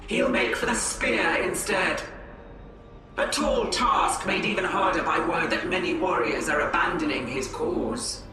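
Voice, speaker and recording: An actor playing a calm elf advisor. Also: male voice, sits high, unhurried, soft background music. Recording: distant, very echoey.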